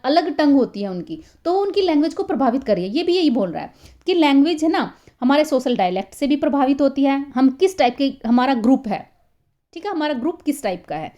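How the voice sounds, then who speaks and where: A woman's voice: high-pitched, mellow. Chatty woman teaching a lecture. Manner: conversational, repeats herself, many fillers and checking questions. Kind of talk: explaining literary theory in a recorded lecture.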